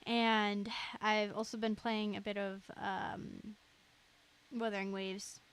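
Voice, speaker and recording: A woman's voice: medium pitch, level tone, slow pace, clean tone. A woman chatting casually on a game livestream in a giggly way, reading out chat as she goes. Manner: giggly, reads chat aloud. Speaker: game streamer.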